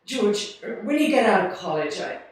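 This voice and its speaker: A man playing a tired, gentle middle-aged father in a radio play, mild and hesitant, in an echoey hall. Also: high voice, very animated, normal speed, recorded from a distance. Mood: weary, gentle. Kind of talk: 1940s radio play acting on stage.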